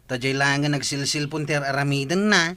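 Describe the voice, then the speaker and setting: A man's voice: a little high, fairly even intonation, moderate pace, pushed and bright. A man with an Ilocano accent playing a meddling village gossip in a comedy radio drama.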